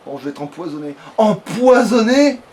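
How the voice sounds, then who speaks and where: A man's voice: high voice, sing-song, normal speed, a little mellow. A man doing casual, informal gameplay commentary as a let's player, with swearing. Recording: distant mic, clear background noise.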